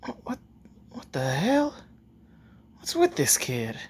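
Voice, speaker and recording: A man's voice: a little high, wildly swooping pitch, takes it slowly, breathy edge, heavily acted. A man voicing a cocky, hot-tempered teenage ninja boy from anime, blunt, slangy and irritable. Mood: baffled. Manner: blunt slangy talk, easily riled.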